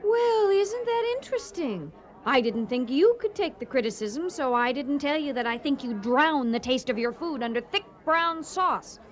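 An actress playing a proud, competitive, easily stung chef in a comedic radio drama, pointed and sarcastic. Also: female voice, high voice, lively intonation, moderate pace. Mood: angry.

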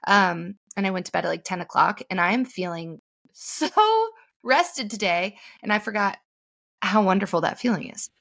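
A woman's voice: mid-range voice, moderate pace, vocal fry, fairly bright tone. A woman co-hosting a podcast, chatting casually and gushing with lots of "like" fillers. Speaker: podcast co-host; mom in business. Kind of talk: casual chat.

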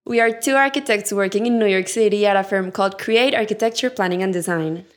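A woman's voice: medium pitch, quick pace. Young Colombian woman, eager podcast co-host. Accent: Colombian Spanish. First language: Spanish. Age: young adult. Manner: eager, often says "like". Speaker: podcast co-host, architecture intern. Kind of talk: casual podcast chat.

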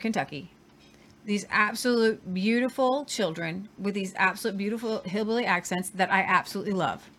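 A woman co-hosting a casual news chat, talking in lively bursts and repeating herself for emphasis. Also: mid-range voice. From Southern Ohio. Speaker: co-host.

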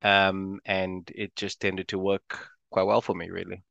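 A man's voice: sits low, monotone, measured pace, creaky, a bit bright, no room sound, muffled recording. A man from South Africa with a South African accent, a stand-up comedian chatting casually and laughing often as an interview guest.

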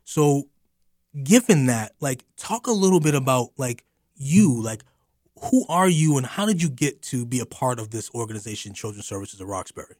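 A man hosting a studio radio interview, speaking haltingly with many repeated words and uhs. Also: medium pitch, big pitch swings, darkish tone, no room sound. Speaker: radio host. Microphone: studio microphone.

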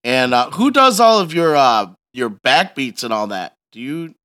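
A man's voice: medium pitch, big pitch swings, moderate pace, bright, forceful tone, clear, resonant tone. A man hosting a casual interview, talking in an emphatic, slangy way. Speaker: podcast host.

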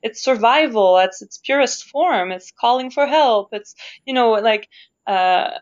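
A woman's voice: a little high, lively intonation, a little slow. A woman from Canada, an opera singer interviewed as a guest, talks thoughtfully and searches for her words, with easy laughs. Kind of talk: reflective conversational interview. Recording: dull lo-fi sound.

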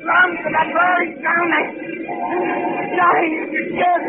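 An actor playing a deranged, grandiose saboteur in an old-time radio drama, with melodramatic, raving delivery. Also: male voice, very high voice, level tone, takes it slowly, heavy background noise, exaggerated voice. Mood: panicked raving. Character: deranged, grandiose male saboteur, self-styled lord of destruction. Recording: tinny vintage radio broadcast, loud music.

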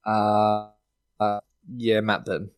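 A man's voice: on the low side, completely flat delivery, slow pace, smooth. A man giving casual fantasy sport advice as a podcast panellist.

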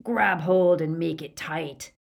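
A woman voicing a brutal, greedy male werewolf gang leader who growls, rasps and snarls his lines. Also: low-pitched, slow, full character voice. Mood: greedy commanding. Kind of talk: audiobook character voice.

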